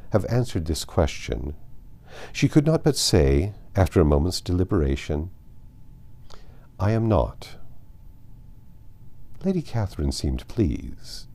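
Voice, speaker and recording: A man's voice: low voice, big pitch swings, normal speed, creaky, warm tone. A man narrating a classic novel in a scripted, literary reading style. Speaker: audiobook narrator.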